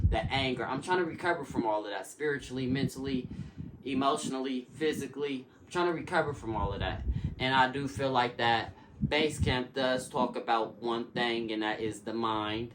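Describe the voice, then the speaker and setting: A man in recovery talking about addiction as an interview guest, earnest and emphatic, with preacher-like repetition. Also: mid-range voice. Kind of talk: casual interview talk. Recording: noisy recording with clicks.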